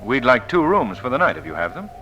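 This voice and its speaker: An actor playing an urbane, unflappable gentleman adventurer, wry and lightly formal in 1940s radio style, on a noisy old recording. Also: medium pitch, wildly swooping pitch, fast talker, lo-fi sound. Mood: cool.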